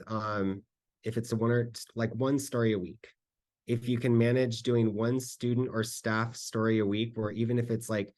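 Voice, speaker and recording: A man speaking as a panelist in a conference Q&A, explaining things conversationally and often checking for agreement. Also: medium pitch. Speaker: panelist, school district communications staffer. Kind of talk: conference presentation Q&A, informal advice.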